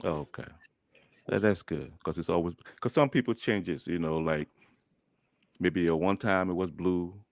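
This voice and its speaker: A man's voice: sits low, a little slow. A man from Liberia hosting a podcast interview, chatty and friendly, laughing easily as he asks questions. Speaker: host.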